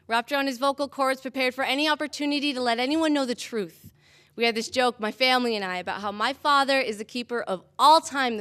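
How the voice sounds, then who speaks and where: A young woman with a high, bright voice performs slam poetry. She speaks in a dramatic, deliberate way, setting phrases apart with measured pauses. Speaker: slam poet. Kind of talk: spoken-word poetry performance.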